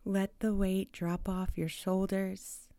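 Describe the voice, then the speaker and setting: Very slow, mellow woman guiding meditation. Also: medium pitch, level tone, no echo. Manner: soothing, spacious, with long pauses between short phrases. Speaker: meditation guide. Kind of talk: guided meditation.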